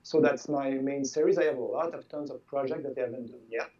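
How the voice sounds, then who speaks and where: Sixty-year-old man with French first language. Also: medium pitch. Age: 60s. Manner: halting, repeats words, relaxed. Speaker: game designer guest. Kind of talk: casual interview chat. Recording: distant, dull lo-fi sound.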